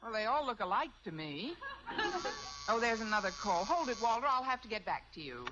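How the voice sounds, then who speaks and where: Wry schoolteacher, lively old-radio wisecracker. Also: female voice, on the high side, fairly quick. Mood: flustered, wry. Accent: American. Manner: dry, sarcastic wisecracking. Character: wry, quick-witted female high school English teacher. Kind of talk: 1950s American radio sitcom acting. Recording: dull old radio recording, clear noise.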